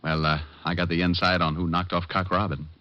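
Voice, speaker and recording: Radio-noir gumshoe, American: lowish, rapid, offhand wit, muffled vintage recording. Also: male voice, little pitch movement, bright, forceful tone, dry recording. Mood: teasing, glib. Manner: wry, glib, offhand. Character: wisecracking, unflappable male private detective, former cop. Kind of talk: 1950s radio drama acting.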